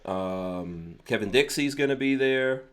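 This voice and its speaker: A man's voice: mid-range voice, lively intonation, measured pace, clear, resonant tone. A man hosting a podcast in casual chat, with a teasing, joking way of talking. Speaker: podcast host.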